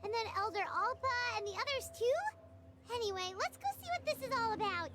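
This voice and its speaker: A woman voicing a small, curious childlike companion in a video game, squeaky and earnest. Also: animated, moderate pace, heavy background noise. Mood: puzzled.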